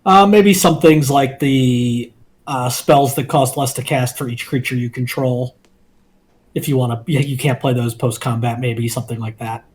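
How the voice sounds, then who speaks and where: A man co-hosting a card game review, talking in a measured, analytical way with some filler ums. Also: mid-range voice. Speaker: co-host.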